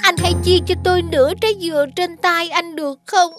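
Whiny, very high-pitched cartoon fox lady. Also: fairly even intonation, a little fast. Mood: exasperated, coaxing. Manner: whiny, complaining, dramatic. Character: female fox, sly, whiny, bickering talking animal. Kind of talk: children's cartoon dubbing. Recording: music behind the voice.

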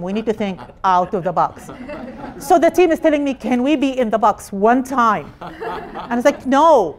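A middle-aged woman from Palestine, a hospital director, giving an energetic personal talk in a conference room with phrasing. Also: on the high side. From Jerusalem, Palestine. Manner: energetic, gesturing while speaking, non-native phrasing. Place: conference room with projected slides.

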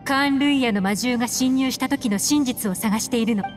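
A woman playing a composed, secretive investigator in Japanese anime game dialogue, speaking in measured, poised phrases. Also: a little high, soft background music, natural voice. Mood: grave, confiding.

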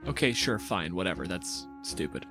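A man's voice: low voice, very animated, moderate pace, gravelly, over music. A man hosting a casual podcast in a sardonic, grumbling, exasperated manner. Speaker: podcast host.

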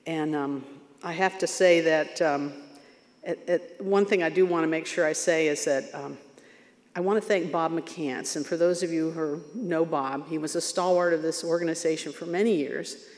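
A woman in her sixties giving a humble award acceptance speech from a podium, halting with many fillers. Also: low-pitched, steady tone, a little mellow. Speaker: award recipient. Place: large banquet hall stage. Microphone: podium microphone. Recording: long hall reverb.

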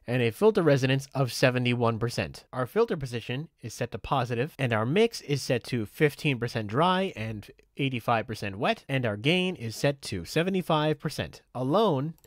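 A man giving a methodical, step-by-step software tutorial in an instructional way. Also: medium pitch, animated, normal speed, hardly any pauses, no room sound, studio quality. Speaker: tutorial presenter.

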